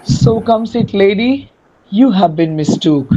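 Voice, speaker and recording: Performer acting slow, tender young Shakespearean gentleman. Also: medium pitch, lively intonation, background noise, lightly acted. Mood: wondering, tender. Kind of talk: dramatic reading of Shakespeare. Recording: dull lo-fi audio.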